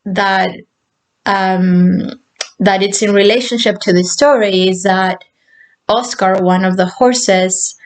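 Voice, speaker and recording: A woman from Argentina recounts a personal spiritual experience, speaking in a slow, unhurried way. Her voice sits at a middle pitch, soft-spoken and meandering, and she pauses often with fillers. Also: steady tone. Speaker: spiritual channel sharing a personal story. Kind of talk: unscripted personal storytelling.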